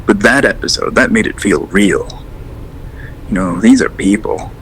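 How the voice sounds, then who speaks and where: A man's voice: fairly low pitch, lively intonation, measured pace, fairly bright tone, slight background noise, low-quality recording. A man acting as a hesitant, rambling caller to a late radio show in an audio drama, earnest and unsettled. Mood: reflective. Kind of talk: audio drama, naturalistic phone-in call.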